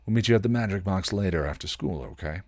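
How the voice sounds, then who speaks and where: A man's voice: deep voice, fast, darkish tone, no echo, clean studio sound. A man voicing a cocky, protective male vampire in a story reading, slangy and offhand. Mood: gentle.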